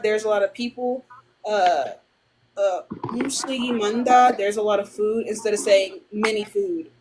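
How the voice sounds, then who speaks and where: Chatty woman explaining grammar, higher voice. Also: darkish tone. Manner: explains grammar chattily, sounding out Korean phrases slowly. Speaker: co-host of a live Korean study stream. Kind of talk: casual live-stream explanation.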